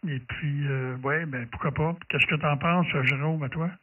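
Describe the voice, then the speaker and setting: A man's voice: on the high side, fairly even intonation, moderate pace, heavy background noise. A man with a Quebec French accent making casual ham radio conversation, hesitating and repeating his phrases. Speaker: amateur radio operator. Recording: distant, tinny narrow-band radio sound.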